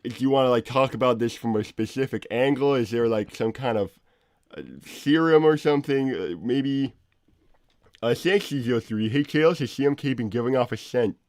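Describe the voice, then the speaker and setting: A man playing an awkward, exasperated cartoon animal inventor, co-hosting an in-character livestream in halting, repetitive chat. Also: mid-range voice, a little mellow, no room sound, clean studio sound, natural voice. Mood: hesitant. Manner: halting, stammering, repeats himself. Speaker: livestream co-host.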